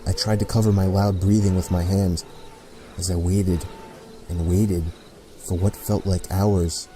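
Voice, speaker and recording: A man reading a scripted first-person horror story in a measured, deliberate way, with pauses between phrases. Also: low voice, level tone. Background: background music.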